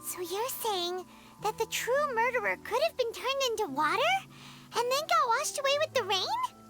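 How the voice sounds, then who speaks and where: A woman voicing a tiny, childlike fairy companion in a video game, squeaky, earnest and curious. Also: big pitch swings, moderate pace. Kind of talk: English video game voice acting. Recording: game music loud behind.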